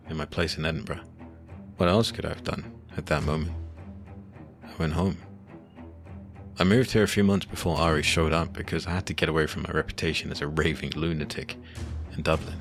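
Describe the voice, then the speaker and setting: A man playing a weary, shaken occult adventurer, telling his ordeal in deliberate, brooding scripted narration. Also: a bass voice. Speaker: first-person narrator. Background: music.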